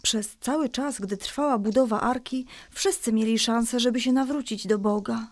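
A woman playing a gentle mother who patiently explains a Bible story in a children's audio drama. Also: fairly high pitch, normal speed, dry recording. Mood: solemn. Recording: faint music behind the voice.

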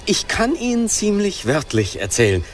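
An actor playing a gushing, brash charmer with an effusive, halting manner in a radio play. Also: male voice, sits high, huge pitch swings. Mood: earnest. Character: gushing, flattering, brash showman courting a nymph. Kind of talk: German radio play acting.

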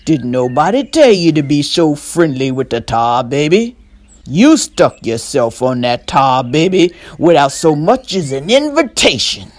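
Brief: a woman playing a sly, greedy male fox, loud and slow, stretching every taunt, low-pitched. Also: very animated, no echo, exaggerated voice. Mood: gloating. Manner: loud, slow, drawn-out gloating. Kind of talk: storybook read-aloud.

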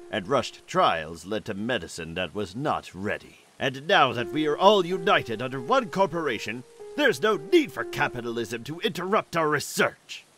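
A man playing a cool, self-assured doctor and corporate tycoon, measured and authoritative, in an animated comic dub. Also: medium pitch, lightly acted. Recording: music behind the voice.

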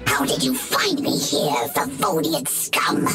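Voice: mid-range voice, huge pitch swings, takes it slowly, far from the mic, music in the background. An actor voicing a small furry monster mage in a game, stammering and swinging between snide and frantic. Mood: snide defiance.

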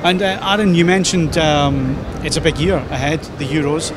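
A man's voice: on the high side, fairly even intonation, normal speed. A man with a Scottish accent interviewing a guest at a trade show, asking chatty, informal questions. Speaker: tech website interviewer. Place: busy trade show hall. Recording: noisy trade show floor. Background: crowd chatter and hall noise.

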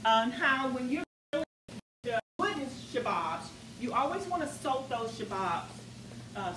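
Chatty woman cooking host in roomy kitchen. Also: high-pitched. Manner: chatty, keeps addressing viewers with "guys" and "okay?". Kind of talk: casual cooking demonstration. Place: large home kitchen, indoors. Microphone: camera mic across the kitchen. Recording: roomy, distant, noisy kitchen sound.

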